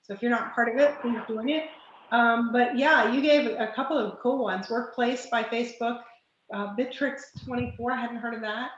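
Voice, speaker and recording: Hoarse woman running an online group, kinda high voice, lots of ums, sounds muffled over the call. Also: steady tone, moderate pace, roomy sound. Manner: searching aloud, many fillers and restarts. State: hoarse, losing her voice. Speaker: meeting facilitator. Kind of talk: casual online group discussion.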